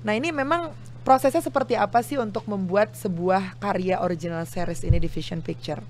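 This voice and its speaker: A woman's voice: medium pitch, a little fast, a little hiss or hum. A young woman hosting a podcast in a casual, chatty, informal interview style. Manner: informal, chatty, with frequent backchannels. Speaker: podcast host.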